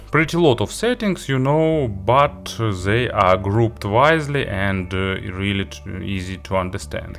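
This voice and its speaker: A man giving a product review walkthrough, halting a little as he lists features item by item. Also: fairly low pitch. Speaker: tech reviewer demonstrating an audio player.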